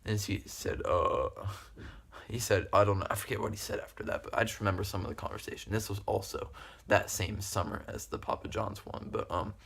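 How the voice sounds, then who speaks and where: A man's voice: lowish voice, a little fast, creaky, fairly bright tone. A young man telling a story casually to camera in a rambling, giggly, repetitive way. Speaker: video creator telling stories.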